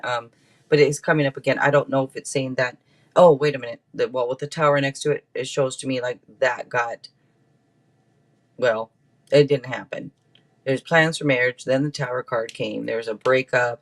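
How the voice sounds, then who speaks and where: A man hosting a casual live tarot reading, chatting to viewers in a rambling, playful way with frequent asides. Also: medium pitch. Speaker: tarot reader and livestream host.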